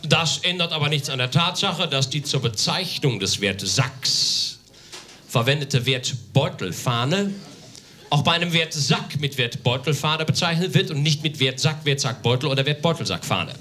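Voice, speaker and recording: A man from Germany doing a live comic reading on stage, deadpan and mock-formal with emphatic declamation. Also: a little high, normal speed, a little hiss or hum. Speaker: comedic reader on stage. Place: live on stage in a theatre café, audience laughter behind.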